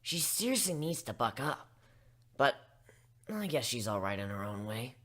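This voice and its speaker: A man's voice: medium pitch, very animated, normal speed, a bit bright. A man reading first-person story narration as an amateur dramatic reader.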